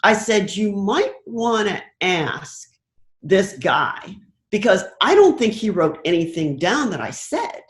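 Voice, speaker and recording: Expressive American woman in an echoey room. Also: medium pitch, slow. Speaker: interview guest, broadcast producer. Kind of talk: conversational anecdotes in an interview. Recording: roomy, echoing sound.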